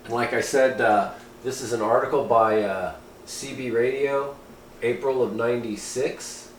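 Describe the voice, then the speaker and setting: A man talks through a piece of hobby gear in a roomy, echoing space with faint noise behind him. His pitch is mid-range for a man. He sounds informal and folksy, slipping between reading aloud and offhand comment. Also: measured pace. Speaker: amateur radio hobbyist reviewing gear. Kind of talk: casual product review, partly reading aloud. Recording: roomy echo, steady background noise.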